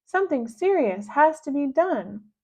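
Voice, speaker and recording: A woman doing a loud, dramatic little girl. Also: sits high, big pitch swings, unhurried, voice pushed up. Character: dramatic, headstrong young girl. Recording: dull lo-fi recording.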